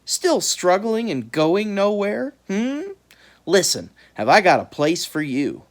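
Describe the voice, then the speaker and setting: A woman's voice: mid-range voice, very animated, slow, pitched above the performer's own voice. A woman voicing a large, coaxing bird with breezy, persuasive salesman-like patter.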